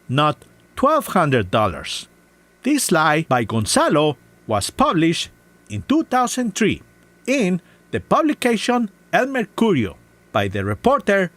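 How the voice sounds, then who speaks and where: Heavily accented man with lively pitch. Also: no room sound. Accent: non-native English. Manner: scornful, methodical listing of claims. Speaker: commentary video maker. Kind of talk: scripted rebuttal commentary.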